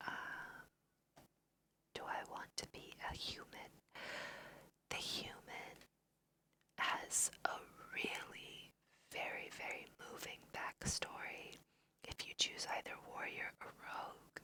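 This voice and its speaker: A woman with a slight cold whispering ASMR video game commentary, gently guiding the listener in a breathy, unhurried way. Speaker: let's play host guiding through a video game.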